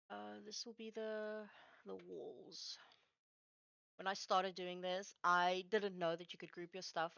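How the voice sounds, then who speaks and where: A woman with Afrikaans as her first language talks in a casual, halting way while she works, often feeling for the next word. Her voice has a gravelly fry at a middling pitch. The sound is close and dry, though a little dull and lo-fi. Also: a bit bright, no room sound. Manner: halting, searches for words. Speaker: streamer building game maps live. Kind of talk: casual chat while working.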